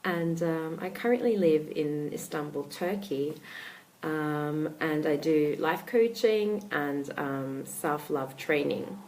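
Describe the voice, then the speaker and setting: An Australian woman, a life coach, talking casually to her followers in a live stream, with many pauses and fillers. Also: low-pitched, a little mellow, a little hiss or hum. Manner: hesitant, many ums and restarts.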